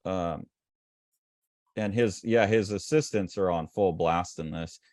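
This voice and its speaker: A man's voice: low voice, slow pace, darkish tone, dry recording. A man co-hosting a casual art discussion, talking loosely and eagerly with many fillers and restarts. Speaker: co-host.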